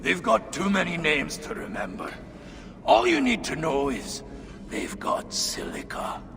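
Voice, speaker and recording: Gravelly, swaggering dwarf chief. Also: male voice, a little high, very animated, a little slow, exaggerated voice. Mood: gruff, wry. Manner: blunt, earthy, swaggering. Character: boisterous, coarse, jokey dwarf chief. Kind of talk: video game cutscene acting. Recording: faint ambient noise.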